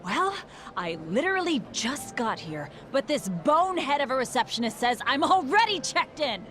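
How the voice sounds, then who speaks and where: Game role: indignant, insistent woman, forceful bright tone, very lively pitch swings. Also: moderate pace, soft background music. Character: exasperated, demanding woman refused entry. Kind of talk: video game voice acting.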